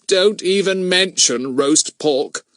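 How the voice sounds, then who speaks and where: A man voicing a confident, cheeky boy detective in a children's storybook, brisk and well-mannered. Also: high-pitched, fairly bright tone, no room sound, clean studio sound, pitched above the performer's own voice. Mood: brisk, fussing.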